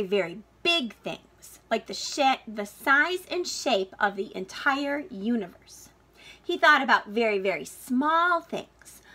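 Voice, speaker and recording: Bright, high-voiced woman reading a storybook aloud. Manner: expressive, deliberate emphasis with drawn-out key words. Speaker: teacher reading a picture book aloud. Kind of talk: read-aloud storytelling for children.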